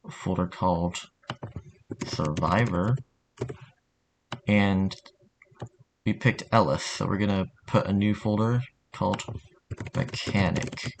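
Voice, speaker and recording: Tutorial host: man, lowish voice, casual and halting delivery, slightly noisy desk recording with typing behind. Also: steady tone. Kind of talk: casual software tutorial narration.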